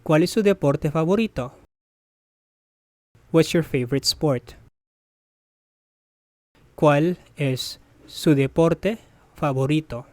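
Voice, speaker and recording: Slow deliberate teacher voice, phrase-by-phrase drill, dry close sound. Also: mid-range voice, darkish tone, no room sound. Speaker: Spanish teacher.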